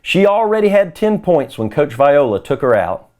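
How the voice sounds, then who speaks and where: A man reads a children's story aloud as a narrator. His voice is a little high for a man. The intonation is highly animated, moving up and down through each sentence. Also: moderate pace. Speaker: storybook reader.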